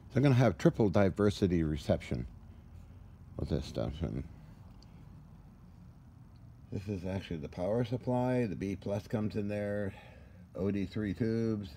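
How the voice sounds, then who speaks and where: A man giving a casual, hands-on walkthrough of equipment in a halting, muttering way with folksy asides, recorded on a handheld device in a workshop. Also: low-pitched, dark tone. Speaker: radio restorer. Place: indoor cluttered workshop. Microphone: handheld camera or phone, behind the lens. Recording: noisy handheld recording with room ambience.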